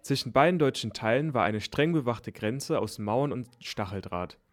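A man works as a newsreader, delivering scripted news items written for children. His pitch sits in the middle range for a male voice, and he reads in the style of a radio bulletin. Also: expressive, normal speed.

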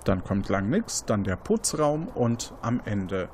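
A man's voice: lowish voice, sing-song, slow pace, darkish tone, a little hiss or hum. A man, improvising scene descriptions as game master of an interactive mystery game, often correcting himself.

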